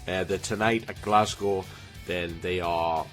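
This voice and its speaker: Low-voiced man with a cold. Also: fairly even intonation, unhurried, a bit bright, faint music behind. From Newcastle, England. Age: 20s. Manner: rambling, chatty, with frequent ums and restarts. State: has a cold, slightly snotty. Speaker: YouTuber. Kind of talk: casual chat to camera.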